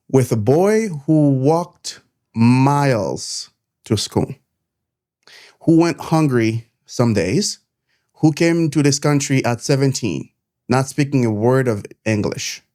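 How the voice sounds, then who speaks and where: A middle-aged man with a Haitian accent, telling his own life story to camera in an earnest, measured way, pausing between phrases. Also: mid-range voice, a little mellow. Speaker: nurse turned content creator.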